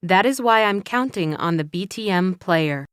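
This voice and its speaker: A woman playing an encouraging but firm English teacher, speaking slowly and carefully in a scripted classroom dialogue. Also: lowish voice, expressive, smooth, no room sound, studio quality, lightly acted. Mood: instructive. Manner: slow, careful, instructive delivery.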